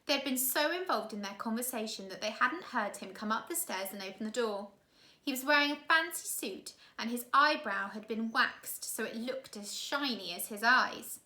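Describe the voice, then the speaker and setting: Bright lady doing storytime narration, kinda teacher-like, echoey room. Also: fairly high pitch. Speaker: teacher reading a children's novel aloud. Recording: roomy, echoey room sound.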